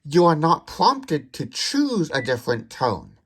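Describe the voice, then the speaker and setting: A man's voice: fairly high pitch, wildly swooping pitch, a little slow, husky. A man hosting a tech demonstration, explaining details methodically. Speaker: tech demonstrator, video host.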